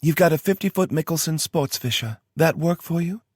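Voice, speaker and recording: A man voicing a brisk woman handling logistics, speaking with a twang. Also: a little high, animated, darkish tone, breathy edge, no echo, studio quality, pitched above the performer's own voice.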